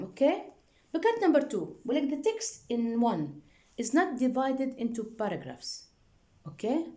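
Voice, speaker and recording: A woman's voice: a little high, warm tone. A woman teacher giving a classroom lesson, often checking in with her students and using frequent fillers.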